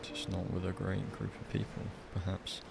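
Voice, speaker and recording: Low, creaky-voiced man streaming a game. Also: level tone, moderate pace. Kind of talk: casual live gameplay commentary. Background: game music.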